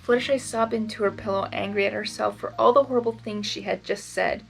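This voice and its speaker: A woman who hosts fan fiction readings, moving between expressive story narration and emotional, casual reaction. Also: medium pitch, a little fast, vocal fry, faint music behind, low-quality recording. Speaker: fan fiction reader and channel host.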